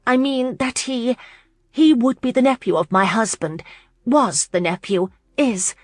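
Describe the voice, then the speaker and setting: Woman voicing a flustered, high-pitched young countess. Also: normal speed, no room sound, clean studio sound, close to an everyday voice. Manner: stammering, breathless politeness. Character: young countess, flustered, polite, eager to please.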